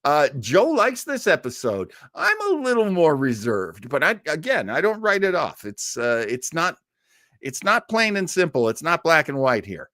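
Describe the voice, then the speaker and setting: Animated, chatty man in his sixties. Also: on the high side, moderate pace, no echo, studio quality. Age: early 60s. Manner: chatty, rambling, jokey asides, replies to viewers. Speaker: host of a livestreamed classic radio listening show. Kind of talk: casual livestream chat with viewers.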